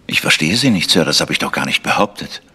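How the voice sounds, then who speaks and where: Husky, very low-voiced village sexton. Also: male voice, animated, fast, pushed and bright, full character voice. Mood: defensive, guarded. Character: deferential, evasive village church sexton. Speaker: actor in a German radio crime play. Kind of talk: German radio mystery acting. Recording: long church-like reverb.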